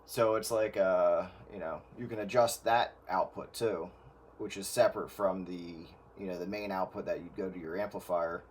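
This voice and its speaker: Man, fairly low voice, informal explainer in a technical radio chat. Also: fairly even intonation, moderate pace, noisy background. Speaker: audio gear hobbyist giving advice.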